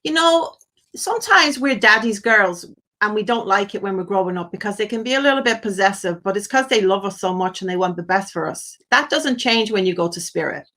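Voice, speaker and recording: A woman's voice: medium pitch, expressive, a little fast, sharp tone. A woman hosting a live phone-in as a psychic medium, effusive with endearments, relaying messages to a caller. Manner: effusive, uses endearments and blessings; relays messages in quoted asides.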